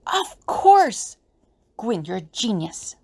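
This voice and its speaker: A woman's voice: a little high, big pitch swings, slow, pitched above the performer's own voice, heavily acted. A woman voicing a bossy, exasperated woman adventurer in an audio drama, speaking with urgency. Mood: excited. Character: Ali, brisk exasperated woman adventurer on a mission.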